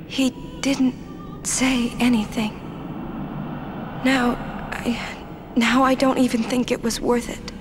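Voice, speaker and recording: An actress playing a gentle, dutiful young summoner in a video game, speaking in an earnest, formal and hesitant way. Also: female voice, fairly high pitch, flat delivery, slow pace, pauses often, noisy background. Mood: regretful.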